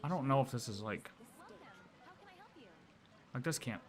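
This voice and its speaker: A man's voice: mid-range voice, lively intonation, measured pace. A man livestreaming a video game, chatting casually and thinking aloud in slangy, offhand asides.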